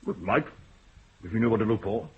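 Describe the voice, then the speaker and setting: A stubborn widowed country doctor. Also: male voice, lowish voice, lively intonation, slightly rough. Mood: guarded. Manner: measured, authoritative, hospitable but insistent. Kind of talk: 1960s radio drama acting. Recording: muffled old radio broadcast, faint hiss.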